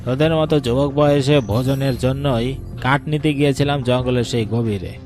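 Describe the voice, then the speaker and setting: A man acts an older village uncle in a cartoon horror story. He is grave and solemn, a seasoned hand with spirits giving warnings. His medium-pitched voice sits over prominent music. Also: fairly even intonation, normal speed, close to an everyday voice.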